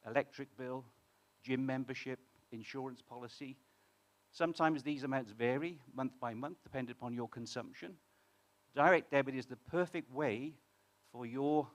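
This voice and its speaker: A British man, a fintech founder, speaking in a conversational way at a conference and asking the audience questions. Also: mid-range voice. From United Kingdom. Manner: conversational, many restarts, asks the audience questions. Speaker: fintech founder presenting on stage. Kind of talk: conference talk to a live audience.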